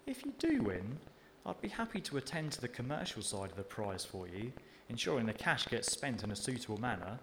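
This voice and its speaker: A man's voice: mid-range voice, sing-song, a little fast, fairly bright tone, slight background noise, low-quality recording. A man voicing a scheming teenage girl who talks in a wheedling, mock-generous way.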